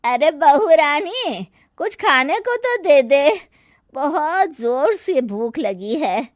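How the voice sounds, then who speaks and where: A woman's voice: sits high, very animated, slow pace, dry recording, studio quality, heavily acted. A woman voicing a scheming, meddling older aunt in a Hindi story, taunting and insinuating with a sly sweetness. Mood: demanding. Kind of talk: dramatized Hindi moral story.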